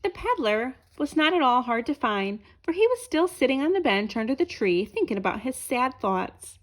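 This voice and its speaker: High, very animated woman reading a storybook. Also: normal speed. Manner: asks listeners questions as she reads. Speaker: storybook reader. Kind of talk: expressive children's read-aloud narration.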